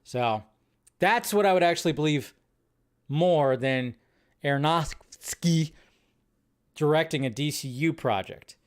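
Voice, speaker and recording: A man with a somewhat high voice hosts a solo live stream. He talks loosely and thinks out loud, often restarting his sentences. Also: unhurried, long pauses, clean tone. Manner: rambling, thinking aloud with restarts. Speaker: host.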